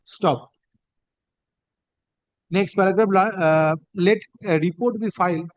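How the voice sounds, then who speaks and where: A man with a Bengali Indian accent speaking as a judge, dictating a court order in halting, deliberate phrases and calling out punctuation. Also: high-pitched, no echo.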